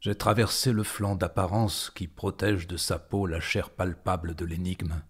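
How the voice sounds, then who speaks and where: A man reciting poetry in a dramatic style, phrasing deliberately with frequent pauses and a husky voice. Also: deep voice, fairly even intonation. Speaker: poetry performer.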